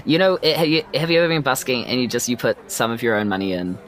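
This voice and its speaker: A woman's voice: very deep voice, expressive, quick pace. A woman with a New Zealand accent chatting casually as a player in a tabletop game.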